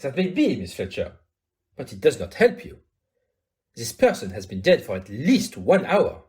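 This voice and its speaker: A man's voice: medium pitch, wildly swooping pitch, moderate pace, a little mellow. A man playing a courteous, self-assured Belgian detective in an audio play, with a French-speaking Belgian accent and formal, precise, theatrical phrasing. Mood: probing, insistent.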